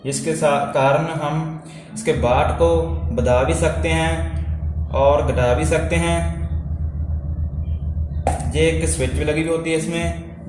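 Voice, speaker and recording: A man's voice: fairly high pitch, a little mellow. A man presenting an informal hands-on repair tutorial, explaining step by step and repeating himself as he goes. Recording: very echoey room, faint background noise.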